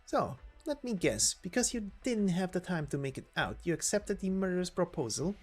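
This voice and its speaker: Man putting on a brash, taunting young mercenary woman, pitched up. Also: big pitch swings, normal speed, soft background music. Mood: mocking. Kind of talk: amateur voice acting of a game story.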